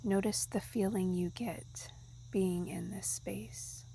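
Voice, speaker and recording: A woman guiding a meditation in a soft, soothing, breathy, half-whispered voice. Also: mid-range voice, steady tone, slow, a little hiss or hum, low-quality recording. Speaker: yoga nidra guide. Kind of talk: guided meditation narration.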